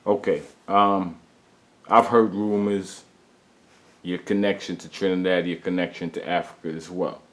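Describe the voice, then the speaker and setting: A man's voice: low voice, steady tone, measured pace. A man interviewing a guest, asking questions casually and repeating words as he stammers.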